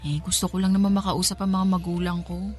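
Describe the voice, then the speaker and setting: An actress playing a timid young housemaid who longs to escape, hesitant and deferential, in a Filipino radio drama. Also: female voice, lowish voice, level tone, fast talker, a little mellow, lightly acted. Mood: anxious, pleading. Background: music bed.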